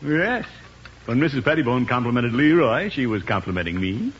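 An actor playing a pompous, self-satisfied middle-aged uncle in a 1950s radio comedy. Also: male voice, medium pitch, big pitch swings, moderate pace, fairly bright tone. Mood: smug. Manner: pompous, preening, chuckling. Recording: muffled, noisy 1950s radio recording.

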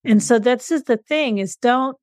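A woman's voice: on the high side, normal speed, no room sound, studio quality. A middle-aged woman, a parent and guest co-author, speaking earnestly in a casual interview with frequent fillers.

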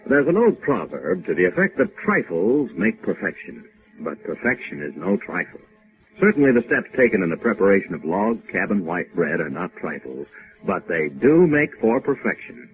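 A man's voice: sits low, big pitch swings, moderate pace. A man reading a scripted 1950s radio commercial as announcer, polished and emphatic. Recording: narrow-band old 1950s radio, faint music.

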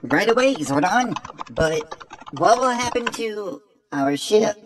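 Robot sidekick, very high voice, anxious, excitable, loyal, fretful chatter, audio drama, noisy recording. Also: male voice, slow, heavily acted. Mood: eager, worried. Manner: fretful, repeats words in an eager chatter.

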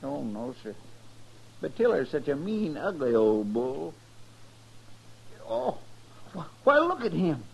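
Earnest old west sidekick, highish creaky voice, western accent, noisy old radio show. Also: male voice, very animated, takes it slowly, long pauses, a little mellow, full character voice. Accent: American Western. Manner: folksy, earnest, deferential. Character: folksy, deferential, soft-hearted lawman's sidekick. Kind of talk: mid-century radio western acting.

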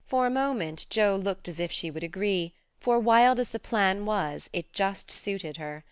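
Woman narrating a classic novel, clear lowish voice, dry close sound. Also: animated, measured pace, no echo. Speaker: audiobook narrator. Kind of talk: scripted literary narration.

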